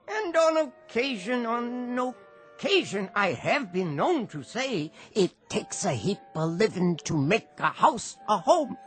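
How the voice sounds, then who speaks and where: An actor playing a genial, rambling old drunk with a florid, theatrical manner in a radio drama. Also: male voice, high voice, fairly bright tone, full character voice. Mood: grandiloquent, tipsy. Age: older. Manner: theatrical, florid, slow declaiming.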